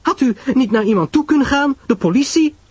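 A man's voice: very high voice, expressive, brisk, husky, dry recording, pitched above the performer's own voice, exaggerated voice. A man voicing an emphatic, reproachful young society woman in a mystery audio drama. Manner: emphatic, exclaiming.

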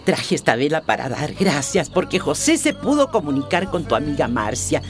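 Kindly old grandma in a radio soap, lowish voice, very expressive intonation. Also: female voice, moderate pace, over music. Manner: motherly, reassuring. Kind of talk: radio soap opera acting.

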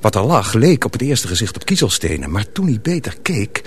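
A man narrating a radio play as a measured, expressive storyteller reading from a script. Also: fairly low pitch, husky.

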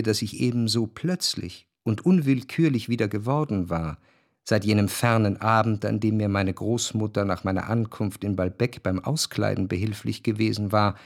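An actor playing a reflective, grieving narrator in a literary radio drama, with measured, introspective and deliberate phrasing. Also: male voice, medium pitch, a little mellow. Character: reflective, grieving male narrator remembering his grandmother.

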